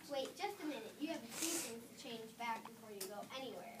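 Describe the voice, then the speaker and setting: Young woman as a fed-up bossy princess, high voice, sarcastic, far from the mic in a roomy echo. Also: lively intonation, fast talker, lightly acted. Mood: exasperated. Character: exasperated bossy fairytale princess, Sleeping Beauty. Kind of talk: amateur reader's theater performance. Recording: distant echoey room recording with noise.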